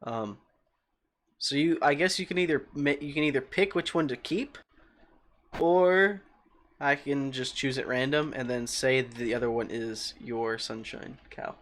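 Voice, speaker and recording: Calm young man streaming a game. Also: mid-range voice, unhurried. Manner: rambling, thinking aloud and answering chat. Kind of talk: casual livestream chat while playing.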